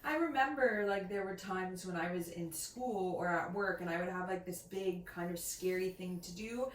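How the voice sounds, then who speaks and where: A woman's voice: on the low side, moderate pace. A woman co-hosting a book channel, talking in a casual, chatty style. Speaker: co-host of a book review channel. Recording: echoey room with faint background noise.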